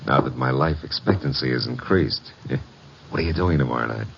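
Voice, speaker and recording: A man's voice: rumbling low, moderate pace, gravelly, fairly bright tone, heavily acted. An actor playing a breezy, flirtatious detective's legman, delivering slangy, sardonic lines with swagger in a radio mystery. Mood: cocky, flirtatious. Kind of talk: 1950s radio detective acting. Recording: muffled, noisy vintage radio recording.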